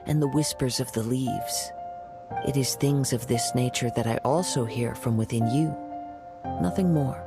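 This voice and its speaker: An actor playing a calm, courteous young wandering samurai in a game, speaking in a formal, measured way with soft whispery moments. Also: male voice, medium pitch, creaky. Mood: musing. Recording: game cutscene with music behind.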